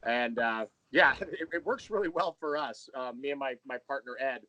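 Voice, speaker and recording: A man's voice: mid-range voice, normal speed, bright, forceful tone, dry recording, rough recording. A man speaking casually as an interview guest, explaining his creative work.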